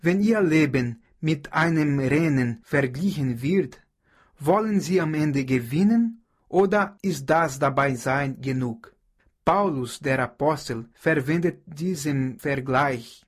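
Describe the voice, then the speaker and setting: A man from Brazil with a Brazilian Portuguese accent, Portuguese his first language, giving a measured radio sermon as a pastor. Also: a little high, slightly rough. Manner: slow, measured preaching with prayer.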